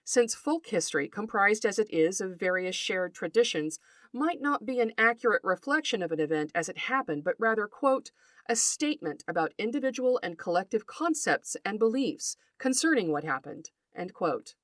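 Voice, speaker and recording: Woman podcast narrator, scholarly scripted read, precise and deliberate phrasing, lively pitch movement, dry close sound. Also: dry recording. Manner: precise, articulate, lecturing with deliberate enumerations. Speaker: podcast host.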